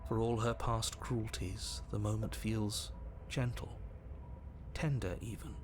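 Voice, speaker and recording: A long-suffering male narrator from a video game, delivering lines with dry sarcasm. His voice sits a little low, with music running under it. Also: steady tone, slow pace. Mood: wry. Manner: wry, sardonic storytelling. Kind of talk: scripted narration.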